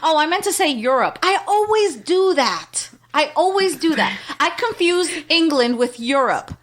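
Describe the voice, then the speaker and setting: Woman, native Spanish speaker, from puerto rico, fairly high voice, blunt and swearing, casual podcast chat. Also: very animated, measured pace. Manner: blunt, profane, rambling. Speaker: podcast co-host.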